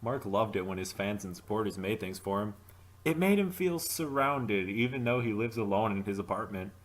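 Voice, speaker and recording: Low-pitched man reading a story aloud. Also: animated, moderate pace, rough recording. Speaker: fanfic reader.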